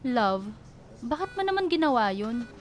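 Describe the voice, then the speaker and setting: A woman's voice: on the high side, very animated, moderate pace, a little hiss or hum. A woman playing a proud, caring young student girlfriend in a radio romance drama, speaking earnestly and pleadingly. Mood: hurt, reproachful.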